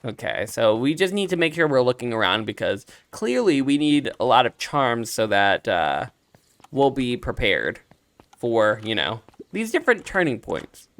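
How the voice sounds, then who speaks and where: A man's voice: mid-range voice, unhurried, no echo. A man giving casual, playful commentary as he plays through a video game. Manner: playful, animated, reads dialogue aloud in character. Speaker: let's play streamer voicing characters and reacting.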